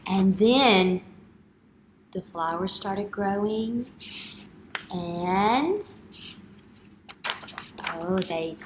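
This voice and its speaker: A woman in her thirties, an elementary teacher reading a picture book aloud and putting questions to young children in an expressive way. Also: medium pitch, poor audio. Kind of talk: children's read-aloud and retelling lesson. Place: indoor classroom. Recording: clear background room noise.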